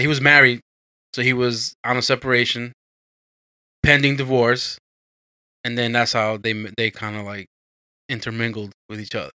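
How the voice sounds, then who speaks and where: A man's voice: medium pitch, pushed and bright, smooth, no room sound. A man from the United States hosting a podcast in a casual, chatty style. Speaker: podcast host. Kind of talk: casual chat.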